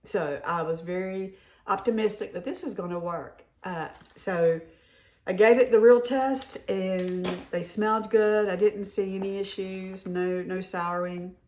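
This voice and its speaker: A middle-aged woman, hoarse from a head cold, chatting casually and rambling with many restarts while showing off a shopping haul. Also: medium pitch, steady tone, a little slow, darkish tone. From North Carolina. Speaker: haul vlogger. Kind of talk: casual show-and-tell chat.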